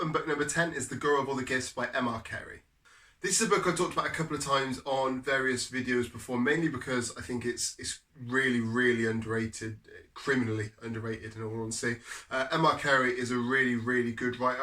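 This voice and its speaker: A man's voice: mid-range voice, bright, forceful tone. British guy with a cold rambling about his fave books, nasal, kinda far from the mic. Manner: chatty, repeats words, frequent ums. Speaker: book reviewer. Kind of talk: casual book review vlog.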